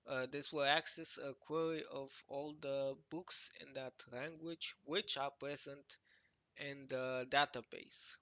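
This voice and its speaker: A young adult man, a native Romanian speaker, presenting his database project over a screen walkthrough, talking haltingly with many fillers and restarts. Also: mid-range voice, fairly even intonation, bright, forceful tone, no room sound. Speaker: project creator presenting a website. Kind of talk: screen-recorded walkthrough narration.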